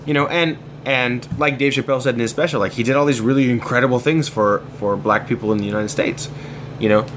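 A man's voice: medium pitch, steady tone, quick pace, a bit bright, slight background noise. A man talking casually as a podcast guest, rambling with many false starts. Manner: rambling, hesitant, many restarts. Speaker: podcast guest, comics artist. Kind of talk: casual chat.

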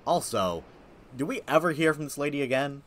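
A man's voice: fairly high pitch, lively intonation, a little fast. A man giving casual, wry, nitpicking commentary as he plays a video game. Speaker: gaming video host. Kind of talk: casual let's play commentary. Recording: game music behind voice.

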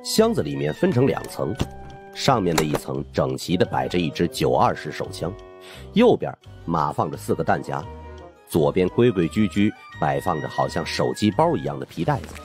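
A man's voice: extremely low pitch, very animated, normal speed. A man narrating suspense fiction as an audiobook in a dramatic scripted style. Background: background music.

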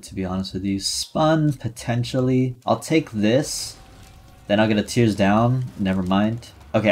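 A man streaming a video game with casual, reactive commentary, his delivery excitable and moving between muttered asides and shouts. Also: lowish voice, husky. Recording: game music behind the voice. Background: video game music.

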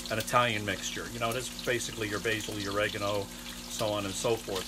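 An older man in his sixties hosts a casual cooking video from a small trailer kitchen. His voice sits at a medium pitch and he talks haltingly, pausing mid-sentence. Clear frying and kitchen noise runs behind him. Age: 60s. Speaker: home cook and cooking show host. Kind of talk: casual cooking demonstration talk.

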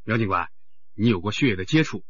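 An actor playing a patient, cautioning doctor who explains things chattily in a radio drama. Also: male voice, lowish voice, lively intonation, fast talker, lo-fi sound, a little hiss or hum, natural voice.

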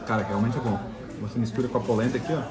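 A man's voice: medium pitch, fairly even intonation, rapid-fire. A man with a southern Brazilian accent chatting informally in a casual food vlog, often repeating himself. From Santa Catarina, southern Brazil. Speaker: travel food vlogger. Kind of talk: casual vlog chat while tasting food. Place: inside a restaurant. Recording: busy restaurant chatter behind.

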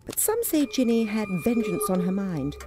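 A woman's voice: medium pitch, wildly swooping pitch, normal speed, over music. A woman playing a wry local woman who tells a witch legend in an ominous storytelling way in a radio drama.

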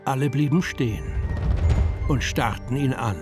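A man's voice: mid-range voice, unhurried, natural voice. An actor as male storyteller over music. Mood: storytelling. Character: storyteller of a children's fantasy tale. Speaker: narrator. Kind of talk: scripted audio drama narration.

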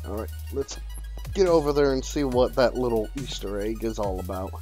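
A man casually commenting on his own gameplay, playful and laughing, with sudden excited yelps. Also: mid-range voice, normal speed, faint music behind. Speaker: gaming channel host.

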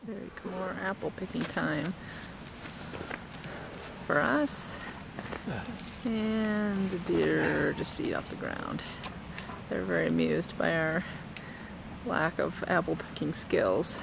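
A man casually narrating his hike outdoors, with relaxed, offhand comments on the scenery. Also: a little high, slow, gravelly, long pauses. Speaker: travel vlogger. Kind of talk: casual narration while hiking. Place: outdoors on desert trails and in an orchard. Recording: noisy outdoor camera audio.

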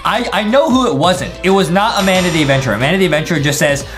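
A young man giving excitable, slangy, jokey commentary for kids as he hosts a guessing game video. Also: high-pitched, very fast. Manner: animated, slangy, jokey, repeats phrases. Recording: music behind the voice.

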